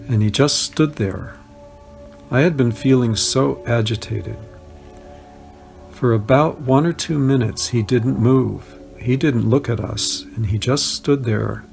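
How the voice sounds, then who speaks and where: A man reads personal recollections in the first person. His medium-pitched voice has a clear gravelly creak. Soft music runs underneath the narration. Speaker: reader of written testimonials.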